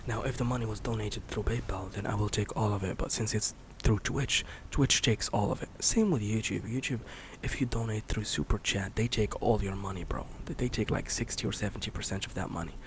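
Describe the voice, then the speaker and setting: A man in his late twenties, a streamer rambling in a soft-spoken, candid way, swearing casually and slipping into whispers. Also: on the low side, fairly quick, few pauses, slight background noise. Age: 20s. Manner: candid, swears casually, many fillers and restarts; drifts between soft speech and whisper.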